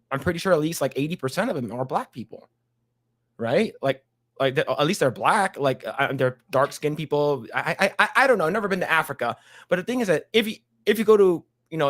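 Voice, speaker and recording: A man's voice: fairly high pitch, a bit bright. A man hosting a casual podcast, reading an article aloud and chatting with many restarts and fillers. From Chinese background. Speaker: podcast host.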